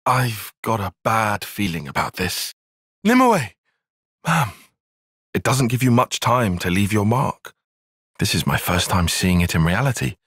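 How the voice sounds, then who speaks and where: An actor playing a bookish young male soldier-tactician in a video game, with a British accent and a measured, formal, dry way of speaking. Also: fairly low pitch, a bit bright, slightly rough, no echo, heavily acted. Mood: urgent, tense. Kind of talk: video game cutscene voice acting.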